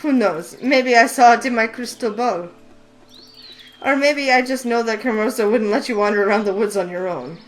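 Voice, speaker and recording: A woman's voice: on the high side, moderate pace, lightly acted, rough recording. A woman voicing a wise, teasing older witch who runs a shop, in a game read-through over faint music. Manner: teasing, knowing and calm. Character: wise sly older female witch shopkeeper. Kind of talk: voice acting a visual novel.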